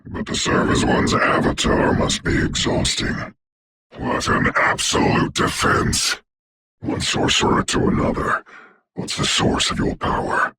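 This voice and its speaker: An actor voicing a commanding, haughty dark sorcerer for a video game, speaking in a formal, grandiose, deliberate way with a gravelly, breathy voice. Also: male voice, heavy background noise, close to an everyday voice. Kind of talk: theatrical hero game voice lines.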